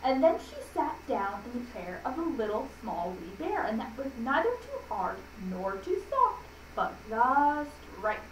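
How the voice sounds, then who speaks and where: A woman reading a children's story aloud for a library audience, deliberately and with small stumbles. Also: a little high, sing-song. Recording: echoey room, distant mic, faint noise.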